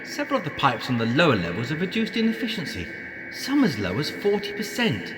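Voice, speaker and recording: A man's voice: fairly high pitch, wildly swooping pitch, a little fast. An actor playing a worried, deferential hotel maintenance man in an audio drama, earnest, formal and cautious. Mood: concerned. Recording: reverberant space with clear background noise.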